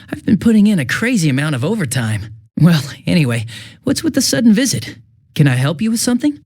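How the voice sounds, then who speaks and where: Young male police detective, video game villain, higher-pitched, very animated intonation, sarcastic, smug, taunting. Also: heavily acted. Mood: breezy, busy. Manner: casual, sarcastic, taunting, shifting from breezy to menacing. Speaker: police officer.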